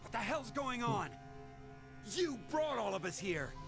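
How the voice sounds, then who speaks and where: A man's voice: very high voice, sing-song, measured pace, gravelly, over music, full character voice. An actor playing an aggressive, accusing young man held captive in a video game, agitated in his delivery. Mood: furious. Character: hot-tempered, accusing young male captive.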